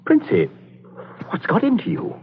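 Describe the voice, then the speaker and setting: A breezy, slightly pompous captain with a swooping, lively delivery and clipped slang. It comes from a radio play with dull, faintly noisy sound. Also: male voice, high-pitched, moderate pace. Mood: alarmed. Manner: hearty, clipped upper-class slang. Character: bluff, chatty military captain, jilted suitor, pompously breezy. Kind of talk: old-time radio drama acting.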